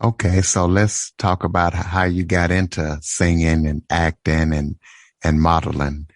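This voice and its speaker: A man hosting an interview podcast, asking steady questions with small restarts. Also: low-pitched, a little slow, no echo, studio quality. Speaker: podcast host.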